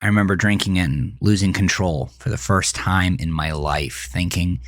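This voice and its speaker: Jaded brooding man telling a confessional horror story about his wasted youth, really deep gravelly voice, music under it. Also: normal speed, no echo, clean studio sound. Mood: wistful, bitter. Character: jaded man recalling his angry, self-destructive teenage years. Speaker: narrator of a horror audio drama. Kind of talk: first-person scripted horror narration, dramatic monologue.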